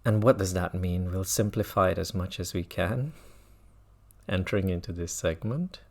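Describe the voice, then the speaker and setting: A man guiding a mindfulness meditation as a teacher, speaking in measured phrases with long pauses and repetition. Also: sits low, creaky.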